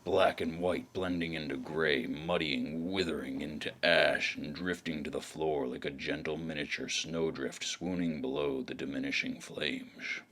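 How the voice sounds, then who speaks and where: Hardboiled detective male actor, bitter and brooding, deep-voiced, dry sardonic delivery, slightly noisy track. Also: steady tone, a little slow, few pauses, fairly bright tone. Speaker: narrator and lead of a noir audio drama. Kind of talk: hardboiled noir narration and dialogue.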